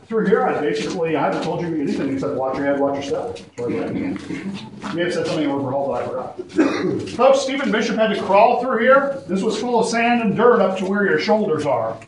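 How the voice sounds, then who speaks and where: A man leading a cave tour, chatty and joking as he gives history and safety warnings. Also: fairly high pitch. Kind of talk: guided tour talk. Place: inside a large echoing cave. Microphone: camera carried by a visitor, guide off to the side. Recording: echoey cave, distant voice, noisy.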